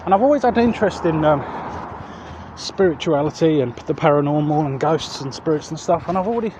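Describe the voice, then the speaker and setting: Male walking vlogger, southeast English, high-pitched, rambling and hesitant, handheld mic beside noisy roads. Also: animated, a little fast. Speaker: vlog host. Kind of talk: casual chat to camera while walking. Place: outdoors walking along roads. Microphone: handheld external microphone. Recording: muffled outdoor street audio, loud traffic noise.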